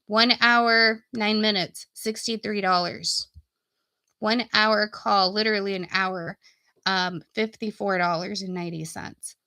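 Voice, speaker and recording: A woman talks straight to her viewers like an informal coach on a live stream. Her voice is bright and forward at a middling pitch, though she is getting sick and starting to lose it. The sound is dry, with very little room to it. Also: steady tone, measured pace, no echo. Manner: informal, folksy, addressing viewers directly. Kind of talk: casual live stream chat and sales pitch.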